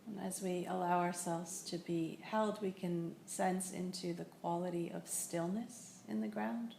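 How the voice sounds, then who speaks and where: A woman teaching a guided meditation, speaking in a gentle, unhurried way with long pauses. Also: on the low side, fairly even intonation, gravelly. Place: indoor room in a building. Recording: echoey room with faint noise.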